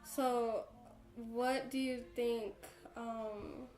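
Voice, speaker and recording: Woman interviewer, somewhat high voice, hesitant phrasing, roomy echo. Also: fairly even intonation, extremely slow, darkish tone, soft background music. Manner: hesitant, rephrases her questions. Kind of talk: oral history interview.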